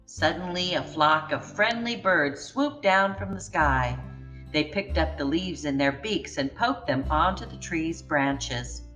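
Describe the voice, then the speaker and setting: A woman reading a children's picture book aloud in a gentle, expressive way. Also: deep voice, a little slow, faint music behind, rough recording. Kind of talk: storybook read-aloud.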